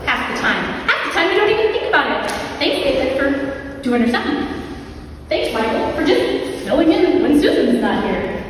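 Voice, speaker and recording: A middle-aged woman with a high voice delivers a reflective, conversational sermon, repeating phrases as she invites people in. She is heard from a distance in a large, very reverberant church hall, with a little noise behind her. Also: sing-song, moderate pace. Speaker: preacher. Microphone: distant camera in the audience. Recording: echoey hall from far off, some noise.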